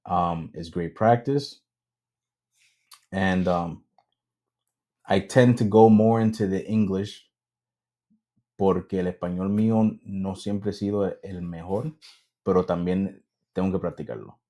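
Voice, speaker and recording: Man, casual podcast co-host, hesitant with lots of ums, deep voice. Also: fairly even intonation, measured pace, pauses often, mellow. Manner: hesitant, many ums, switches into Spanish. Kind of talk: casual chat.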